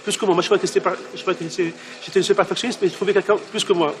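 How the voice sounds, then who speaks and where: Director, male, high voice, casual interview talk with repeated restarts. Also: fairly even intonation, very fast, slight background noise. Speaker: director who works with actors.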